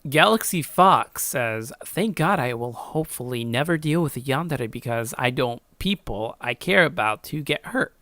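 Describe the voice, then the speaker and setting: A man's voice: medium pitch, lively intonation, normal speed, few pauses, bright, forceful tone, no echo, clean studio sound. A young man chatting casually and informally as he hosts a reaction video and reads comments, giggly and self-deprecating. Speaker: YouTube host reacting to own story.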